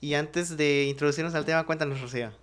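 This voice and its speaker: A man's voice: fairly high pitch, steady tone, quick pace, clear, resonant tone. A man co-hosting a student podcast, friendly and upbeat, laughing often as he talks with his guests. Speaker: podcast co-host.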